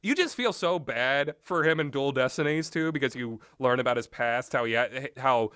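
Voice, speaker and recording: Male game reviewer, medium pitch, dry recording, casual rambling delivery with animated, exasperated asides. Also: normal speed, clear, resonant tone, dry recording. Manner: animated, exasperated asides, acts out imagined quotes. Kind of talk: rambling casual opinionated commentary.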